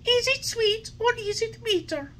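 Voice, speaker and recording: A woman voicing a whiny, stubborn puppet boy in a high, shrill voice. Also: unhurried, a little hiss or hum, full character voice. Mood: whining. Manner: shrill, whining, loud.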